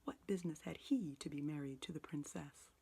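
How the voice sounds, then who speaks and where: A woman whispering the lines of an old miserly man overcome with childlike excitement. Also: low-pitched, very slow, creaky, husky, heavily acted. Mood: excited.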